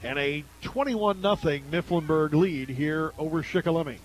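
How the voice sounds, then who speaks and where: A man with an American accent calls a football game live for radio as the play-by-play announcer. His voice sits fairly high for a man, and he follows each play in the clipped phrasing of sports commentary. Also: normal speed, slight background noise, rough recording.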